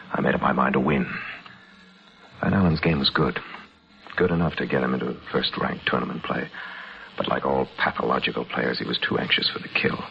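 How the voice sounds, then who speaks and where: Grim, deep-voiced radio hero. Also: male voice, vocal fry. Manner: earnest, intense, clipped dramatic delivery. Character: grim, determined male chess master, vengeful hero. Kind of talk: old-time radio drama acting and first-person narration. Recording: muffled old radio recording.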